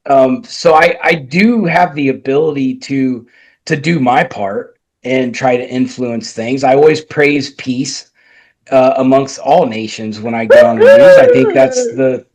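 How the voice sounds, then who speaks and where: A man's voice: medium pitch, big pitch swings, a little slow, a little mellow. A man sharing blunt political opinions in a casual chat, emphatic and often restarting his sentences. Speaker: guest commentator.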